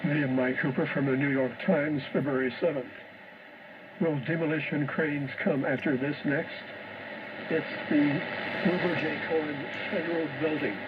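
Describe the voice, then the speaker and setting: A man's voice: fairly high pitch, fairly even intonation, normal speed. A man reads a scripted radio bulletin as host, in clipped short phrases, heard over shortwave radio. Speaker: radio host reading shortwave listening news. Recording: noisy, muffled shortwave radio reception. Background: loud radio static and hiss.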